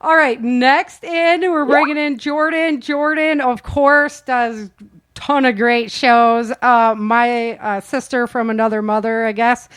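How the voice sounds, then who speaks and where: A woman's voice: a little high, fairly even intonation, takes it slowly, a bit bright, clear, resonant tone, dry recording, studio quality. A woman hosting a casual live interview chat, talking in a chatty, effusive way as she introduces performers.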